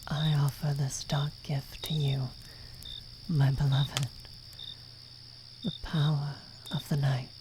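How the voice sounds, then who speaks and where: A woman acts out an ancient vampire woman with a low, slow voice. She is anguished, devoted and vengeful, breaking into halting breathy whispers in a dramatic fantasy roleplay. Her voice rings in long reverb over night-time cricket ambience. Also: flat delivery, noisy background. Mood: solemn pleading. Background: night ambience with crickets and sound effects.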